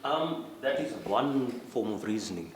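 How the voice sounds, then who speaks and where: A man from South Africa, a photographer and activist, asking measured, articulate questions in a casual panel discussion. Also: mid-range voice, expressive, rough recording.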